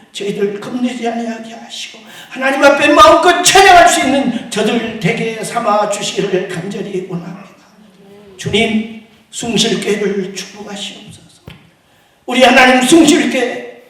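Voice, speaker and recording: A man leading a fervent public prayer at a church service, calling out to God over and over and swinging from loud pleas to hushed ones. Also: very high voice. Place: large echoey church hall. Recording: very echoey hall, heard from far off.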